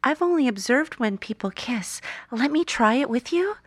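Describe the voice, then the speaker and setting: A woman's voice: high voice, animated, normal speed, no room sound. An actress playing a statue come to life as a sweet, naive, romantic young woman in an audio drama. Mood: playful, innocent.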